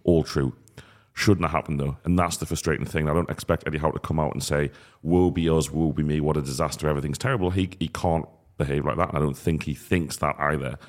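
A man's voice: rumbling low, steady tone, quick pace. A man hosting a football podcast, talking bluntly and swearing in frustration. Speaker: podcast host.

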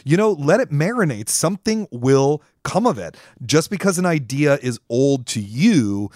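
A man's voice: fairly high pitch, big pitch swings, moderate pace, a little mellow, dry recording, clean studio sound. An American man co-hosting a podcast, chatting in a casual, musing, informal way. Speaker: podcast co-host. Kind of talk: casual conversational discussion.

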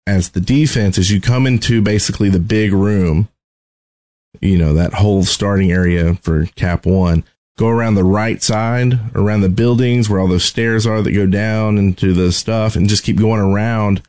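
Lower-voiced man chatting on a gaming podcast. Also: fairly even intonation, normal speed, a little mellow. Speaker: podcast co-host. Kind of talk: casual game discussion.

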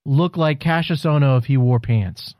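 A man's voice: mid-range voice, normal speed, no echo, muffled recording. A man co-hosting a casual wrestling chat, joking and rambling, swearing freely. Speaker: podcast co-host.

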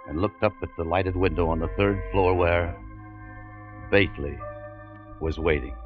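A man's voice: low voice, close to an everyday voice. An actor playing a restless, fed-up American salesman turned adventurer, hardboiled and world-weary, in 1940s radio drama. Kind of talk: 1940s radio acting, first-person narration. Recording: dull vintage radio recording, music behind.

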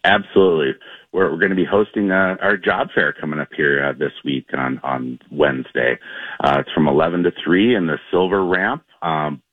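A man's voice: extremely low pitch, fairly even intonation, normal speed, dry recording, narrow, tinny audio. A man answering informally as an interview guest, promoting the place he works for. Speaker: interview guest, airport commission representative.

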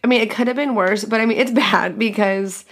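A woman's voice: mid-range voice, a little fast. A young adult woman hosting a podcast, telling a crude story candidly and casually, laughing often. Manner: giggly, frank and crude, lots of laughing asides. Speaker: podcast host.

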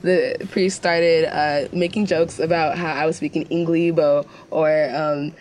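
A woman's voice: low-pitched, level tone, measured pace, darkish tone, a little hiss or hum. A young American woman co-hosting a casual web video, chatty and full of "like"s as she tells stories. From United States. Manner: chatty, filler-heavy storytelling with many "like"s. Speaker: co-host.

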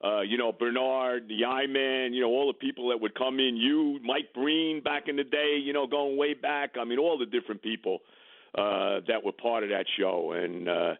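A man's voice: mid-range voice, fairly even intonation, normal speed, creaky, dry recording. A male radio host reminiscing on a call, rambling with lots of fillers. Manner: rambling with many fillers and restarts. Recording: tinny phone line.

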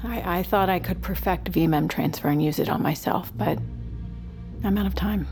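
An actress playing a desperate, guilt-ridden dying scientist in a naturalistic audio drama, with halting, hesitant delivery. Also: female voice, on the low side, a little mellow, faint music behind, lightly acted. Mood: grave, confessional.

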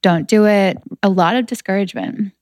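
A woman from New Hampshire, a fitness entrepreneur telling her story as a guest in a casual podcast chat, chatty and quick to laugh. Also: mid-range voice, a little mellow, no room sound, clean studio sound.

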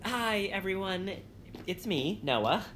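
A woman's voice: medium pitch, lively intonation, takes it slowly, a bit bright, a little hiss or hum. A woman playing a timid, earnest young male creature, polite, hesitant and easily flustered, in an audio comedy. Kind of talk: cartoonish audio comedy acting.

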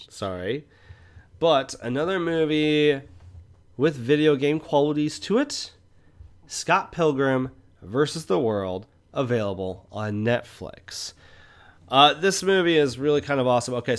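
A man's voice: mid-range voice, a bit bright. A man hosting a film review podcast in casual conversation, often checking in with short questions. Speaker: podcast host.

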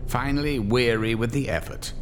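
A man's voice: medium pitch, moderate pace. A man giving dramatic scripted narration for an audio drama. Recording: long reverb, music bed behind. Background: music.

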